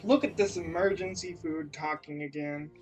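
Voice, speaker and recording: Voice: medium pitch, normal speed, music in the background, rough recording. A gamer giving casual commentary while playing a video game. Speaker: gaming streamer.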